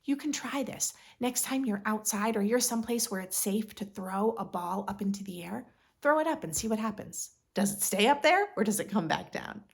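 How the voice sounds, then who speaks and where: A woman reading a picture book aloud to young children in an expressive, instructive way, as a read-aloud host. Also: mid-range voice, moderate pace, vocal fry. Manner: expressive, instructive, asks listeners questions.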